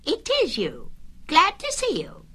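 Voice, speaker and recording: Woman putting on a high-pitched, fussy, nervous male rabbit. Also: huge pitch swings, measured pace, bright, forceful tone, full character voice. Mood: relieved, fussy. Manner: prim, evasive way of talking.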